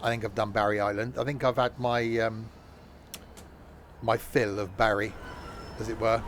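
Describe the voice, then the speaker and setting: A man's voice: on the low side, flat delivery, normal speed, a little hiss or hum. A man streaming a train game, chatting casually and joking with live viewers, rambling with frequent self-corrections. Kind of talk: casual gameplay commentary.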